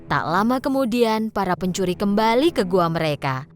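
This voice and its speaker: A woman's voice: mid-range voice, sing-song, moderate pace. A woman narrating a scripted storybook tale for children. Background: background music.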